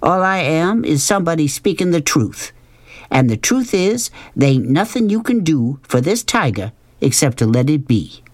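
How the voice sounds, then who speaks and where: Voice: mid-range voice, sing-song, normal speed, no room sound, voice pushed up. A narrator voicing a blunt, devout, weary Southern woman in an audiobook, folksy and emphatic, with a Southern US accent. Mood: resigned, blunt. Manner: folksy, emphatic, matter-of-fact.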